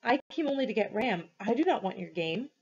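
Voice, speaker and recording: A woman's voice: on the high side, lively intonation, brisk, darkish tone, breathy edge, dull audio, voice pushed up. A woman voicing an earnest, stubborn prehistoric boy in a storybook, pleading and insistent.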